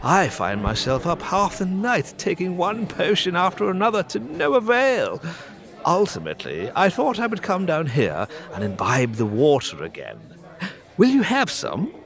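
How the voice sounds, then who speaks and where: A man playing a frail, fussy, self-pitying hypochondriac gentleman suitor in a farcical audio drama. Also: high-pitched, huge pitch swings, normal speed, vocal fry, full character voice. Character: frail, fussy, stooped thin hypochondriac suitor, Sir Charles.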